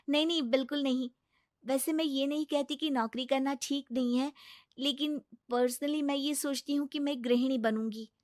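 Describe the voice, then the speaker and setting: A woman plays a gentle young housewife in a cartoon story. She speaks softly and politely in a high voice. The sound is dry and close. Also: fairly even intonation, normal speed, dry recording, natural voice. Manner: soft, polite, sweet.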